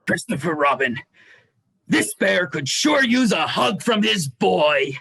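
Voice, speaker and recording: A man playing a gluttonous, ailing old stuffed bear in a play reading, with a raspy, plaintive voice. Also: sits high, sing-song, slow, sharp tone, no room sound, heavily acted. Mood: needy. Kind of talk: dramatized livestream play reading.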